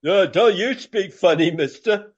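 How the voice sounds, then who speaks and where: An actor playing a jeering, drunk Regency Englishman in a comic radio play, with slurred, drawn-out banter. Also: high voice, slightly rough, muffled recording. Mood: mocking, amused.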